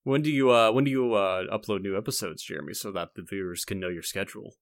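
A man's voice: fairly low pitch, quick pace, dry recording, clean studio sound. Rambling, hesitant male podcast host. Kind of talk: casual chat.

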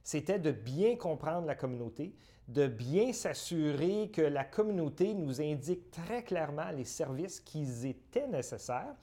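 A middle-aged man from Northern Ontario, answering interview questions in measured, list-like phrases with frequent hesitations. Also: a little high, mellow. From Northern Ontario, Canada. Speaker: guest, community centre director.

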